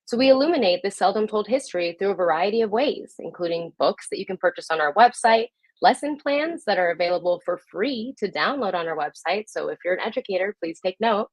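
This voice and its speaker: A woman's voice: medium pitch, expressive, fairly quick, few pauses, no room sound, low-quality recording. A woman hosting a live online program, speaking to viewers in a conversational presenter style. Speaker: host.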